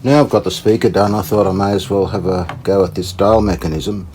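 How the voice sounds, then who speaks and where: Low-voiced man talking through a repair. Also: steady tone, noisy background. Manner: unhurried, tentative, thinking aloud. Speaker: host of a radio repair channel. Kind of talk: casual hands-on repair commentary.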